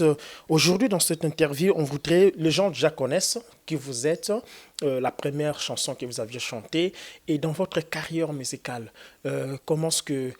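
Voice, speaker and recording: A man from Congo interviewing a gospel singer, asking long, courteous questions full of hesitations. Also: a little high. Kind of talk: formal interview.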